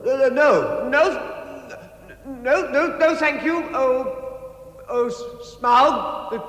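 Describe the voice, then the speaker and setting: An actor playing a terrified little hobbit in a vintage radio drama, stammering and placating. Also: male voice, very high voice, a bit bright, heavily acted. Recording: echoing cavern reverb.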